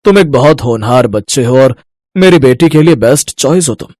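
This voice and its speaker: A man's voice: medium pitch, lively intonation, normal speed, a little mellow, clean studio sound, close to an everyday voice. Guy doing a genial older company boss, fatherly and sure of himself, storybook tale, no room echo. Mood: genial, assured.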